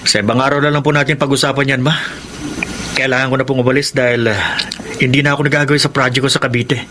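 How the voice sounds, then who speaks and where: An actor playing a troubled but respectful married contractor in a Filipino radio drama. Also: male voice, medium pitch, flat delivery, fast, noisy background, natural voice. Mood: respectful, evasive.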